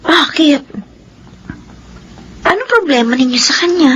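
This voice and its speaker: A woman's voice: high-pitched, expressive, a little slow, a bit bright, highs cut off, a little hiss or hum. An actress playing a sly, spiteful young woman scheming against her sister in a Philippine radio drama. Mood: feigned innocence. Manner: sly, teasing, theatrical.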